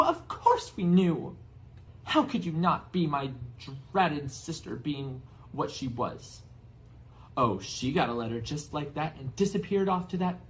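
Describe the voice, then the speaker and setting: A man's voice: fairly high pitch, pitched above the performer's own voice, low-quality recording. A man voicing a spiteful middle-aged aunt who rants resentfully and stammers with disgust in a storybook reading. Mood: shrieking.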